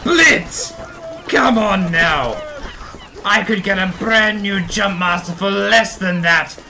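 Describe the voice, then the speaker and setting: A man's voice: high-pitched, slow, background noise. A man playing a wary, haggling scrapyard trader in an audio drama, drawing out his words with exclamatory delivery. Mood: haggling, incredulous. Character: gruff-dealing male rebel scrapyard trader, wary haggler.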